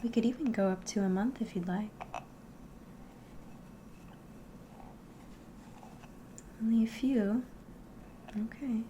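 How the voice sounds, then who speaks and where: A woman playing a friendly, curious innkeeper in an ASMR roleplay, speaking softly and politely, often slipping into a whisper. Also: on the high side, background noise, close to an everyday voice. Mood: welcoming, curious. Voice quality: soft, breathy, often whispering. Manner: gentle, unhurried, polite, hospitable. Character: friendly, curious female innkeeper of a fantasy tavern.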